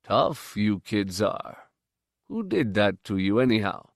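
A man playing a gruff old infirmary keeper. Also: on the low side, very animated, slow, no echo, studio quality, full character voice.

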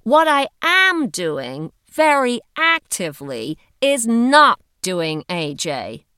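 A woman's voice: medium pitch, big pitch swings, slow, a bit bright, clean tone, no echo, studio quality, voice pushed up. A woman voicing a brash, bossy but loyal female friend in an audiobook, blunt and commanding. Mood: brash, wry. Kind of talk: audiobook character dialogue.

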